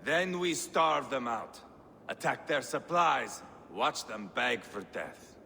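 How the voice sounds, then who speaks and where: Gravelly, taunting Viking warrior. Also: male voice, mid-range voice, expressive, takes it slowly, a little hiss or hum, full character voice. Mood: grim, scornful. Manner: taunting, brash, swearing. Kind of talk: dramatic video game acting. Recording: long hall reverb, loud music.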